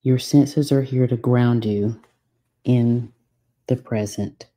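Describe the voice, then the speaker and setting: A woman leading a guided meditation from a script, giving soothing, unhurried instructions with long pauses. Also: very deep voice, fairly even intonation, warm tone.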